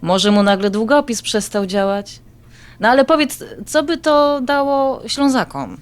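An actress playing a curious, wry grandchild in audio drama dialogue. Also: female voice, on the high side, animated, unhurried.

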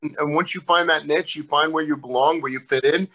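A man's voice: a little high, fairly even intonation, brisk, sharp tone, poor audio. A middle-aged man, a co-host and former wrestler, chatting laid-back on a video call and often tacking on "man". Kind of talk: casual chat on a video call.

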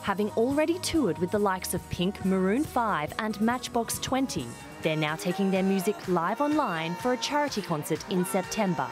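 A woman's voice: mid-range voice, sing-song, moderate pace, hardly any pauses. Polished woman reporter over music. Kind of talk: scripted news package voice-over.

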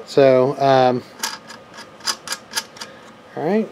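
A man's voice: mid-range voice, extremely slow, mellow, dull audio. A man chatting informally as he hosts a hands-on demonstration, thinking aloud as he goes. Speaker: host of electronics and radio unboxing videos. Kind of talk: casual chat, hands-on demonstration. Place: indoors at a cluttered desk. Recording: noisy home camera recording. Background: clear background noise.

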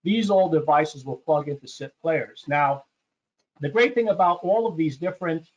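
Male webinar presenter, fairly high voice, conversational and explanatory, slightly muffled lo-fi sound. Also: moderate pace. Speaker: sales presenter hosting a product webinar. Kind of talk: informal slide presentation to an online audience. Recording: dull, muffled webinar audio.

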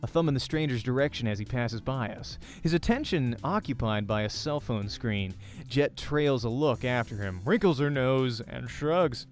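A man's voice: medium pitch, expressive, normal speed. A man doing a let's play, reading a visual novel's narration aloud with expression. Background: faint music.

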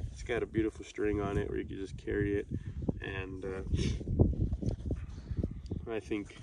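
A young man with a gravelly voice talks haltingly and casually to camera, reviewing gear. He is outdoors and a little way from the mic, with very loud noise over the recording. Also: fairly low pitch, far from the mic. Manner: halting, unscripted delivery. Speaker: video host, hunter reviewing gear. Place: outdoors on an open grassy hillside. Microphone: camera a few metres away.

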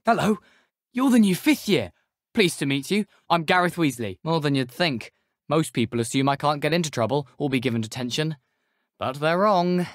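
A man's voice: a little high, no echo, clean studio sound. A young man with a British accent playing an eager, cheeky teenage wizard schoolboy in a video game, chatty, persuasive and self-assured. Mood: friendly, chatty. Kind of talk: video game character dialogue.

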